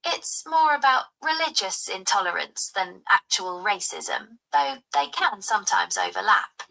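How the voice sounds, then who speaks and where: A woman's voice: mid-range voice, animated, a little slow, creaky, pushed and bright, low-quality recording. A synthetic woman's voice with a put-on Cockney accent, breezily explaining things to a user. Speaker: voice assistant. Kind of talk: chatty explaining.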